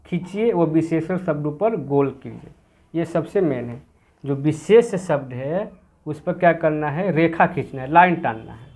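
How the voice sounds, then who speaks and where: Man, schoolteacher, fairly high voice, asks and answers his own questions, repeats key words, mixes in english words. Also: darkish tone. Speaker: teacher. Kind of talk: explaining a grammar workbook to children.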